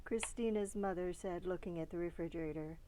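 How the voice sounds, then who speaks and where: A woman reading a children's picture book aloud in a deliberate way, pausing between short phrases. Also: on the low side, warm tone, a little hiss or hum. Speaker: storybook reader.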